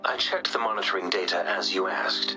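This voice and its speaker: An actor playing an analytical male researcher in a game cutscene, explaining things calmly. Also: low-pitched, brisk, natural voice. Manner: calm, explanatory. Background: game music.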